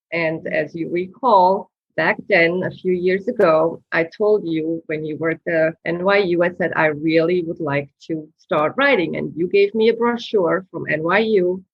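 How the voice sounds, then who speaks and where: Low-voiced woman on a dull remote line. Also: dry recording. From Switzerland. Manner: earnest, storytelling, slightly halting. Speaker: guest, screenwriter. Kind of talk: interview answers.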